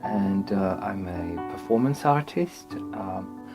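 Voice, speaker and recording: Man, slow and hesitant, reflective; faint music bed. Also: deep voice, lively intonation, lo-fi sound. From France. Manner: hesitant, thoughtful, with many pauses. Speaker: performance artist. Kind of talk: personal recollection.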